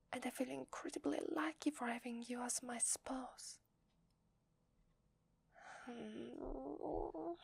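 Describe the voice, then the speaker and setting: A woman with an Italian accent playing a loving, playful werewolf wife in a soft, breathy ASMR roleplay, tender and intimate in her delivery. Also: a little high, no echo, heavily acted. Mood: tender, adoring. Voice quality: breathy, whispery.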